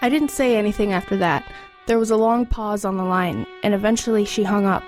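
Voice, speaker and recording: A woman's voice: medium pitch, fairly quick, music in the background, natural voice. A woman playing an earnest, persistent and anxious teenage girl in an audio drama, probing and a little hesitant. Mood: subdued narrating. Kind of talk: audio drama acting and first-person narration.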